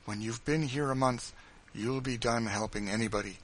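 A man's voice: medium pitch, moderate pace, fairly bright tone, close to an everyday voice. A man voicing a proud, bitter enslaved woman in an audiobook, cold, scornful and defiant.

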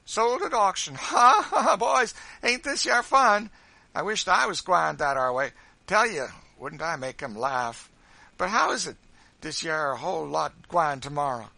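Loud, high-pitched mocking trickster. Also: male voice, animated, unhurried, gravelly, dry recording, heavily acted. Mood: gleeful teasing. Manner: exaggerated drawling folk dialect, teasing. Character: big boisterous mocking man, voluble trickster. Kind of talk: audiobook character voice.

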